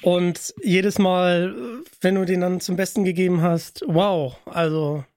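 Male interviewer, high voice, conversational podcast hosting, dry sound. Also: flat delivery, measured pace, a little mellow, no room sound.

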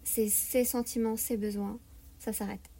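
A woman with a fairly high but mellow voice shares a personal account. She speaks in a calm, flat, reflective tone. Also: unhurried, slightly rough. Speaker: interviewee. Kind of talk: personal testimony.

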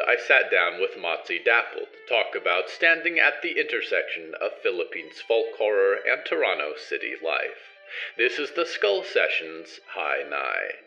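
Low, bright, theatrical male horror host. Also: lo-fi sound. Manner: formal, theatrical. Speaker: podcast host. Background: music.

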